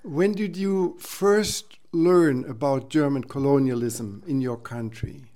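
A man hosting an interview podcast, speaking in a polite, formal and deliberate way. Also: mid-range voice, mellow. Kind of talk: measured podcast introduction and interview questions.

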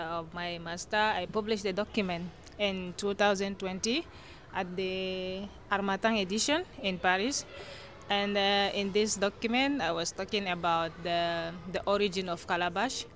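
A woman scholar with a Francophone Central African accent answers interview questions at an average pitch. She explains things haltingly, repeating and rephrasing as she goes. There is a light layer of noise behind her. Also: fairly bright tone. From Chad-born, grew up in northern Cameroon. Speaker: guest scholar being interviewed. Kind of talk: explanatory interview answers in non-native English.

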